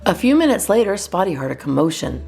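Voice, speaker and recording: A woman narrating a children's picture book as a storyteller, in an expressive scripted reading. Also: fairly low pitch, quick pace, gravelly, breathy edge, faint music behind. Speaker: children's storyteller, channel host.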